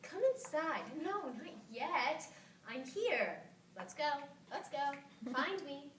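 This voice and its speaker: A woman stage performer reciting scripted lines live in short, deliberate phrases. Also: high voice, big pitch swings, a little hiss or hum, full character voice. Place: stage in a hall. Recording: roomy, distant live theatre recording.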